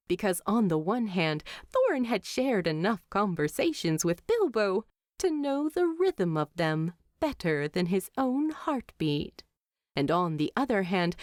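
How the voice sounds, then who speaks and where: A woman reads a story as its narrator. Her delivery is slow and expressive, with plenty of movement in pitch. The recording is very dry, with no audible room. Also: dry recording.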